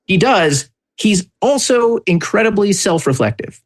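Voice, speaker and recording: A man co-hosting a podcast in casual chat, speaking emphatically and deliberately as he restarts phrases. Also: high voice, expressive. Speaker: podcast co-host.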